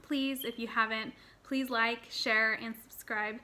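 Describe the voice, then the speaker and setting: A woman of thirty talking casually to her viewers, excited and rambling, with fillers and restarts. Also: fairly high pitch, a little slow. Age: 30s. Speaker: personal finance vlogger. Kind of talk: casual talk to camera.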